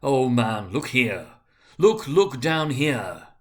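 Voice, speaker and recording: A man's voice: medium pitch, sing-song, slow. A man voicing a solemn, ageing Christmas spirit, stern and sorrowful, in an emphatic, declamatory storybook reading. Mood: sorrowful, urgent. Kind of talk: dramatic storybook reading of a Victorian tale.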